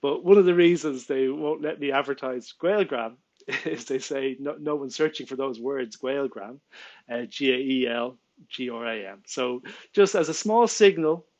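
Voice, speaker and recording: A man's voice: mid-range voice, normal speed, darkish tone, muffled recording. A man in his forties with an Irish accent, an Irish native speaker, venting his frustration straight to camera from a small room at home, stumbling over his words and laughing in disbelief. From Ireland. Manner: exasperated, restarts often, laughs in disbelief, gestures with hands. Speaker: vlogger and small business owner. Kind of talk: indignant direct-to-camera rant.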